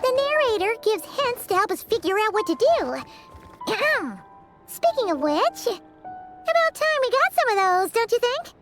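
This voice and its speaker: A woman's voice: very high-pitched, sing-song, a little slow, music in the background, heavily acted. A woman voicing a small, cheeky, childlike fairy companion in an anime game, exclamatory and theatrical. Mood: curious, chatty.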